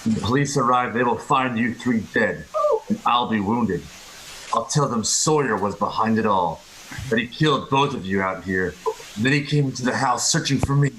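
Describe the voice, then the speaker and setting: A man's voice: mid-range voice, normal speed, fairly bright tone, slight background noise, close to an everyday voice, poor audio. A man playing a scheming, gloating murderer with sneering menace in an amateur radio play reading.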